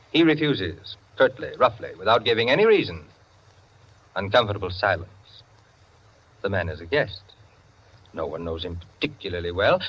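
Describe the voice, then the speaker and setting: An actor playing an opinionated, self-satisfied clubman who lectures his friends in theatrical 1940s radio style, with savored pauses. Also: medium pitch. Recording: dull, band-limited 1940s radio recording.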